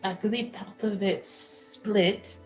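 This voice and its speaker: A woman's voice: mid-range voice, steady tone, slow. A woman playing a tabletop roleplaying game, chatting casually and often breaking off to rephrase. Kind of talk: casual in-character and out-of-character game chat. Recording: background music.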